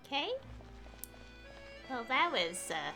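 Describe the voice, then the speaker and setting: A woman's voice: on the high side, very animated, takes it slowly, gravelly, over music. A woman streaming a game, giving casual commentary and reading dialogue aloud.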